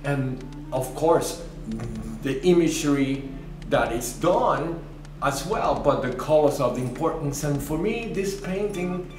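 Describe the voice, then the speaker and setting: A man's voice: a little high, slow, darkish tone. An older man with a Cuban Spanish accent, a native Spanish speaker, talking thoughtfully about his paintings in an interview and sometimes searching for words. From Cuba. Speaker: artist. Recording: roomy distant mic with music underneath.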